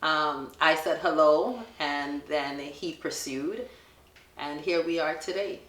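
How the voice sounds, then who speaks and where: A middle-aged woman with a Jamaican accent, telling her son stories in a relaxed family question-and-answer chat and laughing often. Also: low-pitched, takes it slowly, roomy sound. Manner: warm storytelling, laughs often.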